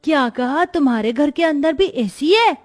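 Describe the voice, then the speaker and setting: A woman's voice: high-pitched, animated, moderate pace, soft background music. A woman voicing an eager, demanding young village boy in a cartoon story, with a childlike, insistent manner. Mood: excited, curious. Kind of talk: Hindi cartoon story acting.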